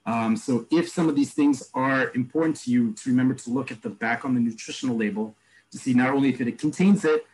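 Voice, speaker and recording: A man teaching an online class, explaining terms and repeating each word slowly. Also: medium pitch, darkish tone, breathy edge, low-quality recording. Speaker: Hebrew teacher. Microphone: video call.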